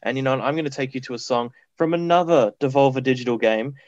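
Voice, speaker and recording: A man's voice: mid-range voice, fast talker, a little mellow, no room sound, highs cut off. A man co-hosting a student radio show, chatty and upbeat as he introduces songs. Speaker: radio co-host. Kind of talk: casual radio chat, song introductions.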